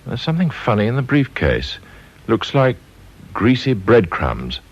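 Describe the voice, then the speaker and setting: A man's voice: medium pitch, very animated, a little slow, husky. An actor playing a dutiful field agent reporting to his boss in a radio drama, with a British accent. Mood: puzzled. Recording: dull radio play recording.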